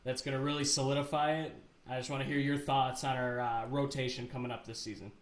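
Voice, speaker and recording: A man acts as co-host in a casual sports interview. His voice sits at a middle pitch and rings with strong room echo as he sets up his questions. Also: flat delivery, fast. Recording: very echoey room.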